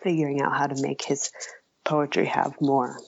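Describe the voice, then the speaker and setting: Low, creaky-voiced woman in interview. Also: level tone, moderate pace. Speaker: interview guest, writer. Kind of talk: conversational interview answers. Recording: dull, lo-fi, dry audio.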